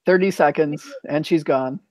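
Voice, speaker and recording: A man's voice: a little high, normal speed, a little mellow, no room sound. Casting: American male host, conversational and informal, dry close recording, video-call language chat. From United States. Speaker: host of an English practice chat. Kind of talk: casual chat.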